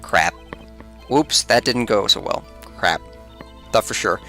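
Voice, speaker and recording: A man's voice: fairly low pitch, lively intonation, slow, bright, forceful tone. A man hosting a let's play, casually commenting on the game as he plays. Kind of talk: casual game commentary, reading dialogue aloud. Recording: music under the voice.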